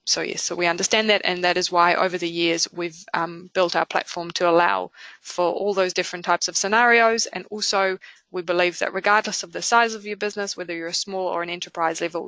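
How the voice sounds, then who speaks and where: A woman with a New Zealand accent presenting a product webinar in a conversational way, often pausing with fillers. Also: lowish voice, vocal fry, a bit bright, no echo, low-quality recording. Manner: conversational, with frequent filler pauses.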